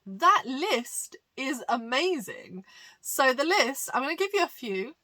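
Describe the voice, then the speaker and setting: Bright-voiced woman pastor, chatty. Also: high voice, sing-song, unhurried. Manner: chatty and explanatory, many fillers, light laughs. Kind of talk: conversational teaching monologue.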